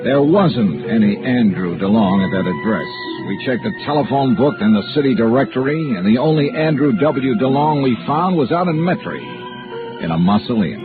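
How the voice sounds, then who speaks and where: Actor as dry hard-boiled investigator, animated pitch swings. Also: male voice, normal speed, lightly acted. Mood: dry, matter-of-fact. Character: shrewd persistent male insurance investigator, dry and hard-boiled. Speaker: radio detective lead and narrator. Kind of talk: old-time radio detective acting. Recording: muffled vintage radio recording, music behind.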